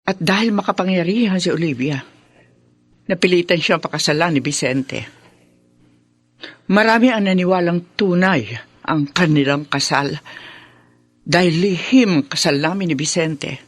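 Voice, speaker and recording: A woman's voice: fairly low pitch, normal speed, long pauses, no room sound, close to an everyday voice. A woman playing an anxious, resigned middle-aged mother who is confessing in a radio drama. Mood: confessional. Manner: confessional, explanatory.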